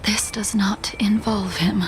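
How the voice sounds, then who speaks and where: A woman voicing a composed, world-weary swordswoman for a video game, grim and formal, with a soft breathy undertone. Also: medium pitch, fairly even intonation, music in the background. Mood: cool, ominous. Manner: formal, measured, solemn. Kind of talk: dramatic video game voice acting.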